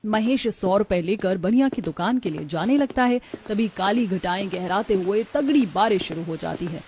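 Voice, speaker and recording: A woman's voice: medium pitch, expressive, moderate pace, darkish tone, a little hiss or hum. A woman giving scripted, dramatic storytelling narration for a moral tale.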